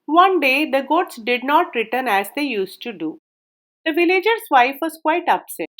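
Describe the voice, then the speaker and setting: A woman narrates a storybook tale in a high-pitched voice. Her delivery is very lively, rising and falling widely as she reads the scripted lines. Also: normal speed. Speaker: storyteller, reader.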